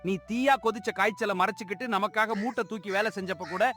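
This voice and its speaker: A man's voice: high voice, fast talker, bright, forceful tone, soft background music. A man playing a remorseful, gossiping village labourer in a dramatised moral story.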